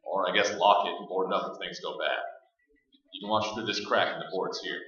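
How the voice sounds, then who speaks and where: A man's voice: sits low, steady tone, a little fast, sharp tone. A man playing a practical, urgent leader of a group of survivors in a staged radio play. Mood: commanding. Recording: very echoey stage, distant, muffled band.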